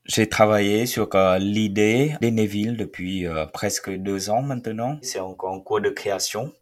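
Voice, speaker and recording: A man in his twenties with a fairly low voice gives a first-person account of his work. He talks haltingly, filling his pauses often as he explains. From Vietnam. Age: 20s. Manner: hesitant, many filled pauses. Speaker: researcher and startup founder.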